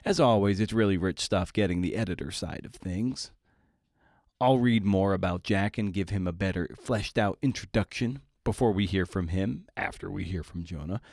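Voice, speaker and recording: A man's voice: sits low, moderate pace, vocal fry, a little mellow, no room sound, studio quality. A man hosting a podcast, talking informally in a casual interview style with loose phrasing. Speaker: podcast host.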